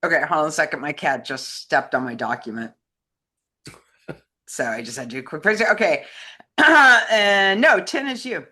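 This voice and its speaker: A woman's voice: deep voice, lively intonation, normal speed, pushed and bright. A woman co-hosting a casual discussion, talking in a chatty, informal way. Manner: chatty, laughs often while talking. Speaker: co-host. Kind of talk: casual chat.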